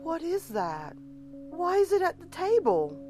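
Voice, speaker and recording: A woman's voice: very high-pitched, wildly swooping pitch, unhurried, a little mellow, faint music behind, full character voice. A woman playing a disgusted, protective wife and mother in an audio story, blunt and appalled. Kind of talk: audio horror-comedy story acting.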